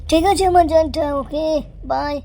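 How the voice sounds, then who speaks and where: A performer voicing a gushing, lovesick young suitor in an amateur comedy cartoon, drifting into drawn-out sing-song wooing. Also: sits high, fairly even intonation, vocal fry, noisy background. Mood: adoring.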